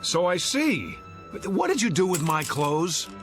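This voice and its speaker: An actor playing an irritable, hungover husband in a suspense drama, sarcastic, bewildered and exasperated. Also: male voice, high voice, very animated, measured pace, a bit bright, soft background music, heavily acted. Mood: annoyed.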